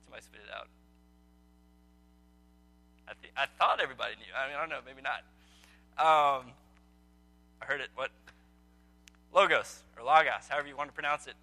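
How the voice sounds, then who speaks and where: Bright-voiced man teaching a Bible study. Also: mid-range voice, fairly quick, pauses often, low-quality recording. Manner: conversational, quizzes listeners, frequent ums. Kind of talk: casual teaching talk to a small group. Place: indoor meeting room with a group.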